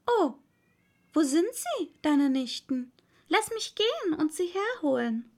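Woman as young storybook girl, high voice, animated, polite, curious, eager, naive. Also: slow pace, heavily acted. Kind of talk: storybook character acting.

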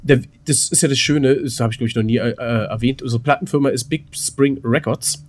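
A man's voice: mid-range voice, steady tone, a little fast, darkish tone. A man in casual podcast chat, rambling and self-deprecating, with coarse slang. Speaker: podcast co-host. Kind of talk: casual chat, recounting his weekend.

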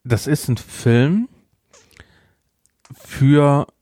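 A man's voice: medium pitch, expressive, very slow, warm tone, no room sound, clean studio sound. A man co-hosting a casual film review podcast, talking emphatically and repeating words for effect. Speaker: podcast co-host.

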